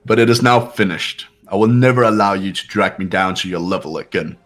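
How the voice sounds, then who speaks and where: A man's voice: deep voice, a little fast, fairly bright tone. A man voicing a cold, haughty, impatient young intellectual with clipped, imperious delivery in a fan game dub. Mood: irritated, disdainful. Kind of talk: fan playthrough character voice acting.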